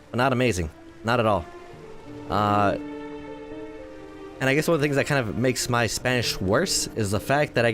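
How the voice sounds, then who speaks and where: A man in his twenties giving rambling, self-deprecating commentary while playing a game, his voice tired and a little hoarse. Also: fairly low pitch. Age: 20s. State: voice tiring, slightly hoarse. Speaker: gaming video host. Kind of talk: casual let's-play commentary.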